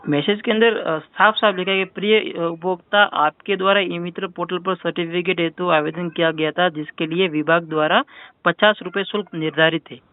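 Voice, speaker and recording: A man's voice: sits high, fairly even intonation, moderate pace, smooth, no room sound. A man making an insistent complaint over the phone, arguing his point again and again. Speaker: caller filing a complaint with a helpline. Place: telephone call. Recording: narrow-band phone call recording.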